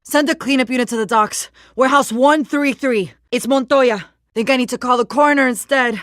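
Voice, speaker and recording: An actress playing a tough, urgent policewoman in a video game, forceful and clipped. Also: high voice, brisk, dry recording, studio quality.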